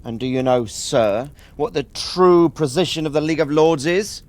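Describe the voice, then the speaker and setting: A man's voice: a little high, normal speed, natural voice. An actor playing a careful, skeptical medieval nobleman with a dry, questioning manner in a game cutscene. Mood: considering. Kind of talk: dramatic game cutscene acting.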